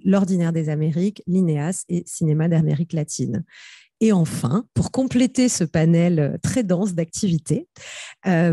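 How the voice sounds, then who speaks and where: A woman's voice: lowish voice, mellow, slightly rough, no echo, studio quality. A woman chairing an academic panel, introducing a speaker with many hesitations and fillers. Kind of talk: introducing a speaker at a research conference.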